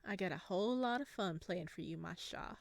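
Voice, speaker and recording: Woman voicing a soft-spoken sinister male captor. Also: on the low side, a little fast, vocal fry. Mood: creepy, coaxing. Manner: hushed, coaxing menace.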